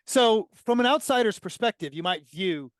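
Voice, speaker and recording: A man co-hosting a podcast, asking questions in a relaxed conversational way. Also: sits high, moderate pace, no echo. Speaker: podcast co-host. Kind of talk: casual podcast interview.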